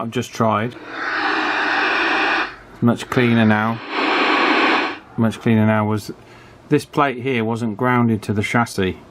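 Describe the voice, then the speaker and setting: A man giving a casual, chatty hands-on repair commentary in an unhurried way, as if thinking aloud. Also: mid-range voice, slight background noise. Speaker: radio repair hobbyist presenting his work.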